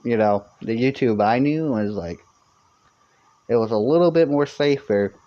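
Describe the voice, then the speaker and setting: A man's voice: lively intonation, a little slow, dark tone. Rambling young man, lo-fi stream audio. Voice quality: fairly high-pitched for a man. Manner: rambling, many fillers and restarts. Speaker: live streamer. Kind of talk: casual live-stream chat.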